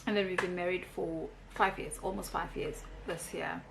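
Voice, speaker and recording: A woman's voice: lowish voice, fairly even intonation, normal speed, vocal fry, a little hiss or hum, low-quality recording. A South African woman in her thirties, chatting casually as she reads out questions and answers them in a vlog. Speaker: YouTuber. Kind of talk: casual get-to-know-me vlog.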